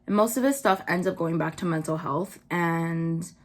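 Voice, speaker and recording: Blunt young woman vlogger. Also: normal speed. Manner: blunt, swears, many "like" fillers; sudden jumps up in pitch when worked up. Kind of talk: casual drama commentary.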